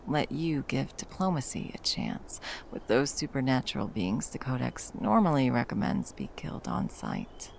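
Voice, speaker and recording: A woman's voice: extremely low pitch, sing-song, moderate pace, creaky, hardly any pauses, a little hiss or hum, heavily acted. A woman playing a battle-hardened monster hunter, violent yet a tender protective lover, in an intimate ASMR roleplay. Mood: playful, wry. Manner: intimate, sweary, teasing and soothing endearments. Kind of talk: ASMR roleplay, intimate scripted monologue addressed to the listener.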